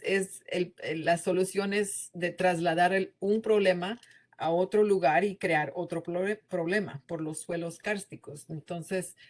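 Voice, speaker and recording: A woman, a presenting researcher, answering a comment in an academic discussion with thoughtful, halting explanation. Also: fairly low pitch. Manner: thoughtful, repeats words while explaining.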